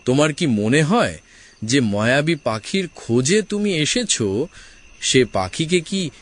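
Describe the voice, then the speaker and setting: A man's voice: on the high side, very animated, unhurried. A man voicing a magical talking deer in a cartoon story, a wise, helpful guide with a mysterious, instructive manner. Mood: mysterious, kindly. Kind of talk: animated story character dialogue.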